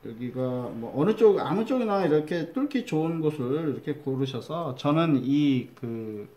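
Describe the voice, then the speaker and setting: Middle-aged man, Chungcheong accent, fairly high voice, chatty crafts teacher walking through a build. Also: expressive, measured pace, dull audio. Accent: Chungcheong Korean. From Chungcheong, Korea. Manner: chatty, repeats key words, drops to a mutter while measuring. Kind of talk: step-by-step craft demonstration.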